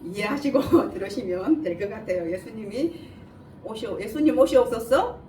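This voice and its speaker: A woman delivers a sermon in a fairly high, lively voice with a regional Korean dialect. Her articulation is a little slurred and lispy as she walks through scripture and poses questions. She sounds some distance from the microphone in an echoey room. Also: normal speed. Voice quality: slightly imprecise, lisping articulation. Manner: asks listeners rhetorical questions and answers them. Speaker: preacher giving a Bible message. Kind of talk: sermon, explaining scripture verse by verse. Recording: echoey room, distant mic, faint noise.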